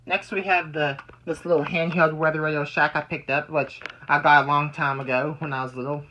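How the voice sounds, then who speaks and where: Bright-voiced rambler. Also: medium pitch, little pitch movement, fairly quick, muffled recording, a little hiss or hum. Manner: rambling, repeats and restarts phrases. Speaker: amateur video maker demonstrating weather radios. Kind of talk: casual hobby show-and-tell.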